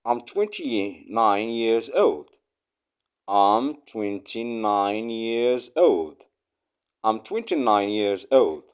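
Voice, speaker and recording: Slow, lively-pitched man reading phrases. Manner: each phrase said three times, slow and clear. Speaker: English phrase reader.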